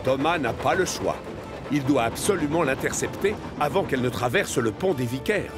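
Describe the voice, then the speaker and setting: A man's voice: medium pitch, lively intonation, normal speed. Male storyteller over music. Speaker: narrator. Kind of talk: scripted storybook narration for a children's cartoon.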